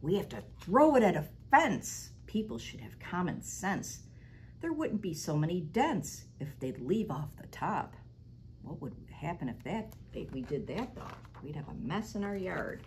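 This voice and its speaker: A middle-aged woman reading a picture book aloud to children as a library storytime host, animated and sing-song, now and then dropping to a stage whisper. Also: fairly low pitch, measured pace, a little hiss or hum. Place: small library room, indoors. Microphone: lapel mic.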